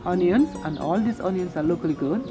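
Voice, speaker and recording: A woman's voice: lowish voice, animated, a little fast. Casting: middle-aged Gambian woman, cooking host, explaining things plainly, music underneath. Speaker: host, cook and charity founder. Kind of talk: explaining cooking and village life to camera. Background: background music.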